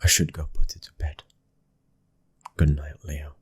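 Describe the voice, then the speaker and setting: A British man playing a tender, devoted mafia husband and father in a soft ASMR roleplay, speaking in a gentle, breathy hush and often whispering. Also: extremely low pitch, level tone, unhurried, no room sound, full character voice. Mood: soothing, hushed.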